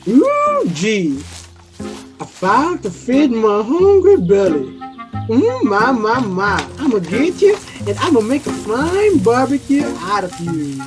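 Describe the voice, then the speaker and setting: A woman's voice: on the high side, huge pitch swings, slow pace, full character voice, poor audio. Woman putting on a greedy hunter, wildly swooping drawl. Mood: gleeful, hungry. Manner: drawling, gloating, folksy. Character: greedy, hungry hunter relishing a meal. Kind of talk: storybook radio play acting. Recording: loud music behind the voice.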